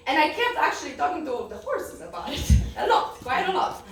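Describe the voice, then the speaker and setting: Polish young woman, higher voice, candid personal story, laughs a lot, echoey room heard from far away. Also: very animated, fairly quick. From Poland. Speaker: guest speaker. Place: event room.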